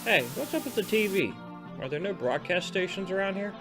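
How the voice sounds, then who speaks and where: Man acting an animated, high-pitched young guy. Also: brisk, over music. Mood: puzzled. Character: easygoing, joking young male student. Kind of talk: audio drama acting.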